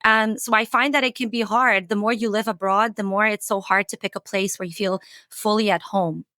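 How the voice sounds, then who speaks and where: A woman hosting a podcast who asks questions hesitantly, with many pauses and fillers. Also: medium pitch, steady tone, sharp tone, no echo, clean studio sound. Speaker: podcast host. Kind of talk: casual interview conversation.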